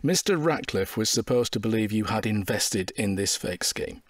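A brisk, probing male detective inspector in an audio drama. His husky voice moves up and down a lot as he questions with dry scepticism. The sound is close and dry. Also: on the low side, animated, no room sound.

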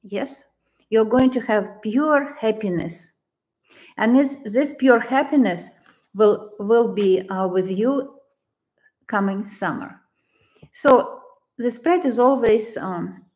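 A woman in her sixties with a Ukrainian accent, giving a tarot reading in a halting, deliberate, gentle way. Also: mid-range voice. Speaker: tarot reader. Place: living room, indoors.

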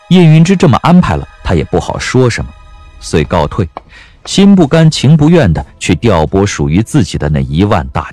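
A man's voice: fairly low pitch, darkish tone, no echo. A man narrating a period audio drama as a storyteller, reading scripted prose dramatically.

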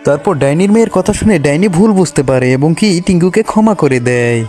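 A man with a high-pitched voice narrates a scripted cartoon tale for children. Music plays faintly beneath him. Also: lively intonation, brisk, warm tone. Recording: music behind the voice.

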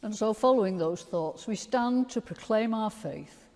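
A woman's voice: mid-range voice, animated, unhurried, darkish tone. Older woman priest in a reverberant church. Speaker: priest leading a church service. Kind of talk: sermon and liturgy. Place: large stone church, lectern microphone.

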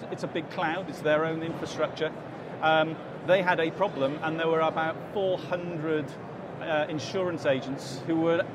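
Man explaining amid loud expo noise. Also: a little high. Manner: explanatory, many fillers and restarts. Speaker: interview guest, company director. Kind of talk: expo floor interview. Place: busy exhibition hall. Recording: loud hall noise behind. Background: crowd chatter and hall noise.

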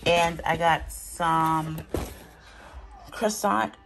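Chatty woman vlogger, slightly low voice. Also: steady tone, very slow, creaky, fairly bright tone, faint music behind, low-quality recording. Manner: chatty, candid, talks as she handles items off camera. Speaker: vlogger showing a grocery haul. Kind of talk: casual chat. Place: home, indoors. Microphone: phone camera held by the speaker.

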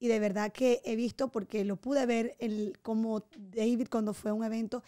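A woman's voice: fairly high pitch, moderate pace. A woman in her thirties hosting a podcast, chatting casually and expressively, affirming her guest. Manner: expressive, affirming, gestures while talking. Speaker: podcast host. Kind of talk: casual interview chat. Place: small home podcast studio. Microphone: studio mic on boom arm, headphones.

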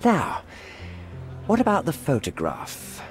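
An actor playing a self-important, cynical middle-aged diplomat in a video game, pompous and self-satisfied. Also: male voice, a little high, huge pitch swings, slow, soft background music, full character voice. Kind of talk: video game cutscene acting.

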